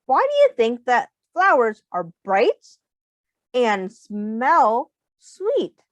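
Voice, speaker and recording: A woman with a high, hugely varied voice reads aloud to young children. She teaches as she goes, taking her time, explaining in simple terms and putting questions to the listeners. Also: very slow, dry recording. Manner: instructive, expressive, addressing young children with questions.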